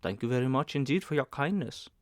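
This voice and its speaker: A man's voice: medium pitch, expressive, fast talker, dry recording, studio quality, close to an everyday voice. Audiobook role: courteous, earnest lad of fifteen , read by an adult man, understated delivery, mid-range voice. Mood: polite. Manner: polite, quiet. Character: polite, earnest fifteen-year-old immigrant boy.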